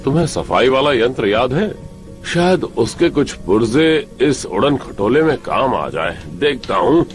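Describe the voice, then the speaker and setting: A man's voice: mid-range voice, big pitch swings, unhurried, faint music behind. A man voicing a kindly, absent-minded middle-aged inventor in a cartoon, muttering as he muses to himself. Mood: puzzled, musing. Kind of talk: Hindi-dubbed cartoon voice acting.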